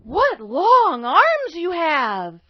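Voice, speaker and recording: A woman voicing a sweet, polite little girl with a high childlike voice, on a dull lo-fi recording. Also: wildly swooping pitch, extremely slow, no echo, heavily acted. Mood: wondering.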